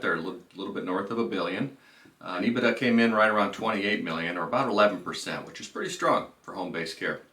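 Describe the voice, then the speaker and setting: A man's voice: fairly low pitch, pushed and bright. A man giving businesslike financial commentary as a presenter, stressing key phrases in an informal way. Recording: roomy echo, distant microphone.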